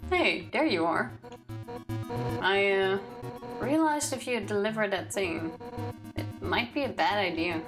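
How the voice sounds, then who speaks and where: A woman's voice: medium pitch, lively intonation, measured pace, pauses often, over music, pitched below the performer's own voice, close to an everyday voice. A woman voicing a brash, bossy female royal guard in a video game, blunt and demanding. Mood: brisk, demanding.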